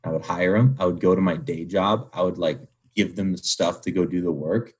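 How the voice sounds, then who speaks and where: A man in his twenties with a deep, husky voice. He speaks as a guest in a casual interview, candid and informal, leaning on slang and filler words. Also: fairly quick, darkish tone. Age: 20s. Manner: informal slangy speech peppered with "like". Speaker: podcast guest, young entrepreneur.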